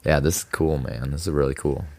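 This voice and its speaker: A man's voice: a bass voice, steady tone, brisk, gravelly, dark tone, no echo. A man from Nebraska, a guest musician on a podcast, chatting in a laid-back, friendly way in a home studio room. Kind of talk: casual chat.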